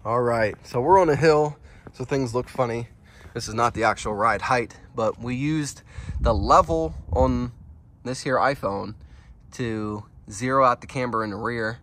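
A man's voice: mid-range voice, a little slow. Stuffed-up young guy filming his car. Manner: relaxed, rambling, thinks aloud with fillers. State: stuffed-up nose. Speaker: car vlogger. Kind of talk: casual hands-on car vlog. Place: outdoors on a residential street and at a gas station. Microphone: handheld phone camera.

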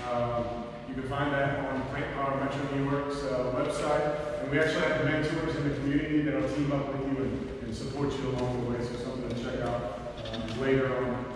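A man's voice: mid-range voice, little pitch movement, a little fast, few pauses. A young man giving an informal cooking demonstration as the chef and instructor of a class. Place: large skylit hall with small seated audience. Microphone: far camera. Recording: echoey hall, distant mic, noisy.

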